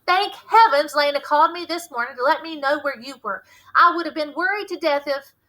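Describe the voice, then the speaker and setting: A woman's voice: high voice, brisk, pushed and bright, pitched above the performer's own voice, poor audio. A woman reading a storybook as a stern, worried Southern mother laying down the law. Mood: worried, scolding.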